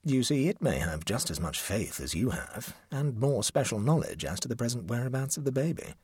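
A man's voice: mid-range voice, very animated, brisk, darkish tone, breathy edge, no echo, clean studio sound, pitched above the performer's own voice. A man voicing an idle, flippant young house guest in a storybook, lazily and drily mocking. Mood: mock reasoning.

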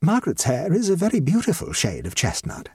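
A man's voice: fairly high pitch, wildly swooping pitch, a little fast, darkish tone, slightly rough, dry recording, clean studio sound, voice pushed up, exaggerated voice. A man voicing a middle-aged society hostess, an anxious matchmaking mother with an emphatic, effusive manner, in a comic story. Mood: eager, gushing. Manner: emphatic, effusive, self-dramatizing. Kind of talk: comic short story reading.

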